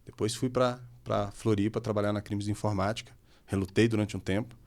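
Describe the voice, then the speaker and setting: Policeman guest from Minas with a Curitiba accent, low-ish voice, relaxed storytelling. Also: brisk. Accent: Paraná (Curitiba). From Minas Gerais, Brazil. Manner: storytelling, conversational, self-deprecating humor. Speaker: civil police officer, guest. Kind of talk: casual podcast chat.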